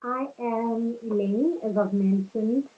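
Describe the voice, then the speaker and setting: Young woman from South Africa, relaxed chatty student guest, higher voice, tinny phone-like line. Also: takes it slowly. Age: young adult. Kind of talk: casual chat. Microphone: video call.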